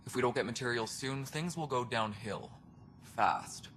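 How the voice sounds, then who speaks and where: A man playing a gruff, world-weary alien station superintendent in a video game, speaking bluntly with dry sarcasm. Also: medium pitch, animated, unhurried, fairly bright tone, slight background noise. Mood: grim, sardonic. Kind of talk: video game cutscene dialogue.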